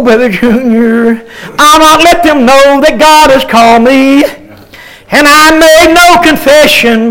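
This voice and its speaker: An older preacher with a very high voice chants his sermon in a slow, sing-song rhythm. He halts often with filler sounds and calls out to his listeners by name between drawn-out cries. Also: poor audio. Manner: halting, many filler sounds between phrases. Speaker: pastor.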